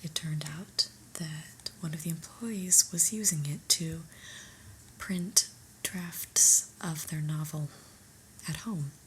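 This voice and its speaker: A woman playing an unimpressed, politely corporate new manager in a soft, whispered ASMR roleplay, with a measured, dryly disapproving manner. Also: sits low, a little hiss or hum, rough recording.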